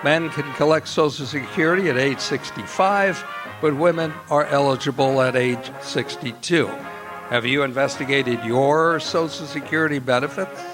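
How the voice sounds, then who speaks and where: A man works as a radio announcer, reading scripted copy in an old-time style. His voice is slightly high, with music clearly playing behind it. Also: normal speed.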